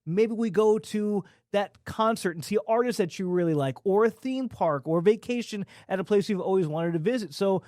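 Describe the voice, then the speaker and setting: A man's voice: sits high, moderate pace, few pauses, darkish tone, no room sound, clean studio sound. A man hosting a casual TV panel discussion in a conversational way. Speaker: host leading a group discussion. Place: TV studio.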